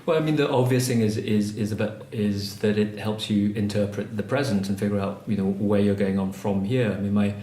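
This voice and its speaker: Hesitant, low-voiced man in an echoey room. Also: steady tone, a little fast, hardly any pauses, mellow. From Malaysia. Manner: hesitant, many ums and restarts. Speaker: interviewee, novelist. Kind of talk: reflective interview answers. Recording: echoey room, faint ambience.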